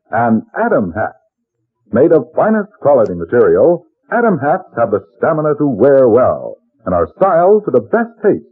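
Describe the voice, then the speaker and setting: Very animated, husky radio pitchman. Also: no echo, narrow, tinny audio. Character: jovial announcer pitching hats. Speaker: radio announcer. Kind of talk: old-time radio advertisement. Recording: dry, thin old radio recording.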